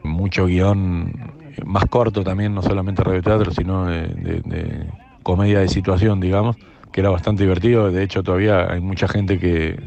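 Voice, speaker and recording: A man's voice: low voice, little pitch movement, normal speed, gravelly, a little mellow. A man with an Argentine accent reminiscing casually in an interview, in slightly muffled audio.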